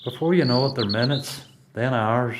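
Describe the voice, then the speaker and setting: A blunt, seasoned male prisoner , played by a man in a radio play. He has a Belfast accent and an average-pitched voice, handing out advice in short, instructive phrases. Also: normal speed, background noise, natural voice. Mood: encouraging. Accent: Belfast Northern Irish.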